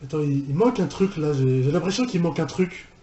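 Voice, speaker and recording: A man with a fairly high, mellow voice hosts a casual reaction commentary. He rambles and circles back on his words, recorded in an echoey room. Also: lively intonation, quick pace, highs cut off. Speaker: reaction video host and fan dubber.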